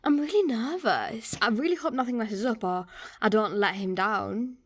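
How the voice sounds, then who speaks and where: A woman's voice: on the high side, normal speed, recorded from a distance, natural voice. An actress playing a nervous, eager young woman in a radio drama, recorded slightly away from the microphone. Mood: nervous, excited.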